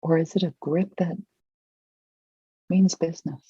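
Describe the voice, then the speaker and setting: Guide for a visualization exercise: very slow, mellow and husky, gentle prompting, long pauses, dry dull recording. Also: medium pitch, fairly even intonation, no echo.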